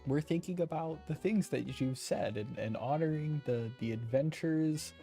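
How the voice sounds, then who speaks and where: A woman game streamer giving casual gameplay commentary, joking in a deadpan way. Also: sits low, moderate pace. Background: game music.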